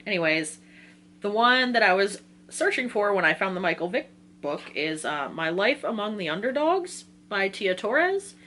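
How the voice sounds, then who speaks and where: An American woman chats informally as a book vlogger, in a medium-pitched voice. She is excitable and rambles into slangy asides. There is some noise and hum behind her. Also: moderate pace. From Virginia, United States. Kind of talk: casual chatty video talk.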